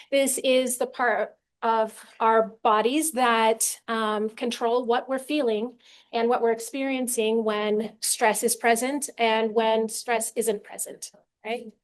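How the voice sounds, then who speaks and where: Soft-voiced woman lecturing a live room. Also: on the high side. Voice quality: soft quiet voice. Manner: apologetic and friendly, laughs often. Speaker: educational speaker. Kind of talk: live lecture to a seated audience. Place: meeting room with audience. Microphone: handheld mic after a lapel clip would not stay.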